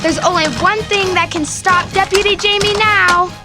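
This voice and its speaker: A woman playing an excitable young child at cowboy play in a children's radio drama. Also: very high voice, moderate pace, over loud music. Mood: excited. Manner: breathless dramatic play-acting narration.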